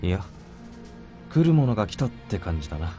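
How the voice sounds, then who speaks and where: A man's voice: low voice, sing-song, rapid-fire, dark tone. An actor playing a cool, confident young male soldier-assassin in a Japanese visual novel, terse and nonchalant. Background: game background music.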